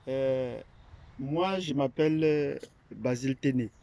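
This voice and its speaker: A man's voice: medium pitch, slow, creaky, mellow. A man from Cameroon, an academic, talking unscripted and hesitantly about a platform he founded, with many fillers and restarts. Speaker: academic presenting his platform. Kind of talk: unscripted monologue.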